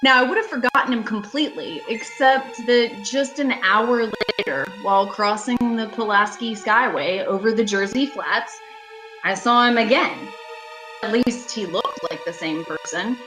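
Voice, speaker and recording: A woman acting a haunted traveller in her thirties in an amateur radio thriller, urgent and halting, repeating phrases for emphasis. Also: a little high, natural voice, rough recording. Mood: uneasy recounting. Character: haunted 36-year-old woman traveller, shaken but insisting she's sane. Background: music and rain.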